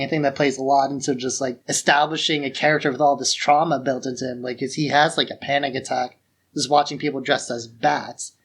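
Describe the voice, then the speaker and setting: A man's voice: fairly high pitch, a bit bright, husky. A man co-hosting a podcast, talking about film in a casual, informal way peppered with "like". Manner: informal, frequent filler "like". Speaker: podcast co-host. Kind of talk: casual conversational film discussion.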